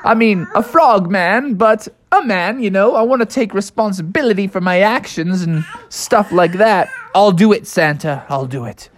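A woman voicing a timid young boy frog with a high, whiny, pleading voice in a bedtime story. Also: lively intonation, normal speed, few pauses, a little hiss or hum, natural voice. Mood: nervous. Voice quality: high squeaky voice.

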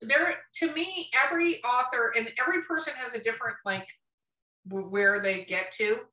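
A woman's voice: on the high side, moderate pace, sharp tone. A woman hosting a casual interview, informal and blunt, recorded in a roomy space away from the mic. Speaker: podcast host, interviewer. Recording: roomy echo, distant from mic.

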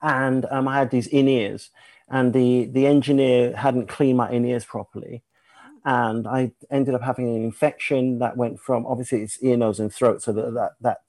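A man's voice: medium pitch, normal speed, no echo. British man chatting casually, dry close sound. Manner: animated, acts out sounds and remembered dialogue. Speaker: singer, interview guest. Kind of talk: casual conversational storytelling.

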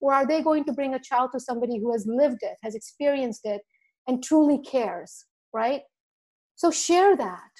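A woman's voice: high voice, expressive, normal speed, darkish tone. A middle-aged woman from India raised in the Virgin Islands, a dental marketing coach speaking earnestly on a podcast. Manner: earnest, speaks from the heart, acts out quoted dialogue. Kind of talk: casual podcast conversation.

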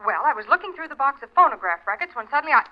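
An actress playing an earnest young nurse over a telephone line in a 1940s radio drama. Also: female voice, high-pitched, big pitch swings, very fast. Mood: eager. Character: earnest young woman nurse phoning urgently. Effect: telephone filter. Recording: muffled vintage radio, telephone sound.

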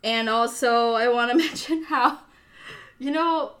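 A woman's voice: on the high side, unhurried, a bit bright. Chatty woman podcast host, English second language. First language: not English. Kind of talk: casual podcast chat.

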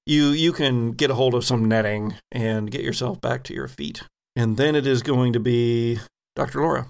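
A man narrates a tabletop game in an unscripted, halting way. His voice is mid-pitched with a noticeable fry in it. He explains outcomes and rules as he thinks them through. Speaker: game master of a tabletop role-playing podcast.

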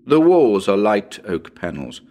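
A man acts as a genial radio presenter in a dramatic reading of a ghost story. His voice is low and highly expressive in pitch, with formal, measured phrasing and a dry, wry edge as he addresses the listeners. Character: genial, well-spoken male radio presenter hosting a ghost hunt.

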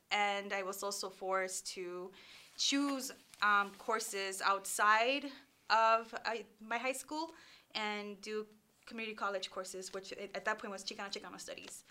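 Bright-voiced woman. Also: medium pitch. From Los Angeles, California, USA. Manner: candid, with fillers and restarts. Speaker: guest, PhD candidate. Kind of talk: casual interview storytelling.

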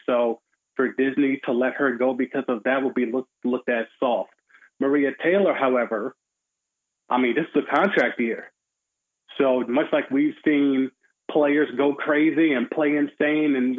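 A man giving opinionated, measured sports commentary as a guest, in colloquial conversational phrasing, over a tinny phone-like line. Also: medium pitch.

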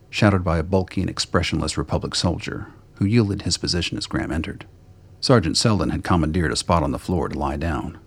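Super deep guy narrating an audio drama, husky and creaky, reading from a script. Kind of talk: scripted radio play narration.

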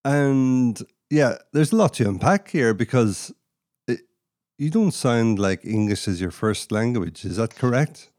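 A man's voice: medium pitch, animated, measured pace, darkish tone, no echo, clean studio sound. A man hosting a podcast, interviewing a guest with casual, joking questions. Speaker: podcast host.

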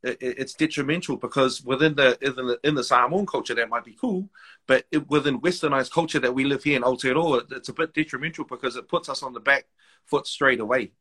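A man from Wellington with a New Zealand accent, telling stories in casual podcast chat, animated and full of restarts. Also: medium pitch, fast talker, breathy edge. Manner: animated storytelling, many restarts, slips into quoted voices. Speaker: guest, rapper and basketball MC.

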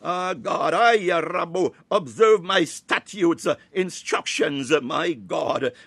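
Male preacher, high voice, dry close sound, fervent Pentecostal delivery, short exclamatory phrases. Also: takes it slowly, gravelly, a bit bright, slightly rough, no room sound. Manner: punctuates short phrases with repeated exclamations of praise; breaks into speaking in tongues. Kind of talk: fervent Pentecostal sermon with scripture exposition.

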